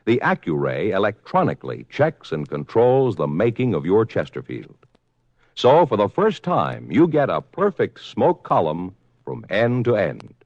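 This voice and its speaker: American guy reading a 50s radio ad, lowish voice, swoops around, polished n punchy, bit muffled old recording. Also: huge pitch swings, measured pace, a little mellow, dry recording. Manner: polished, emphatic selling. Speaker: commercial announcer. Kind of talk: 1950s radio advertisement pitch. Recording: slightly dull, dry vintage radio recording.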